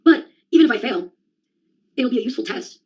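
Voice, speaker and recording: A woman's voice: high-pitched, expressive, fast, darkish tone, breathy edge. A young adult woman in a rambling solo monologue, sardonic and profane, jumping from one topic to the next. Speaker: vlogger.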